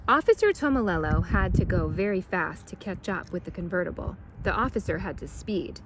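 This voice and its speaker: Animated woman reading a storybook. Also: on the low side, a little fast, very noisy. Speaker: storytime reader. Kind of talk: reading a children's storybook aloud.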